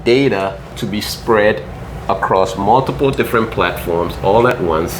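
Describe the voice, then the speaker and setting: A man born in Ghana explains things at an easy, patient pace in a fairly low voice. He keeps checking in with "right?" and "you know". A faint hum of room ambience sits behind him. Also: unhurried. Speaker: guest. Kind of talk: explanatory interview talk.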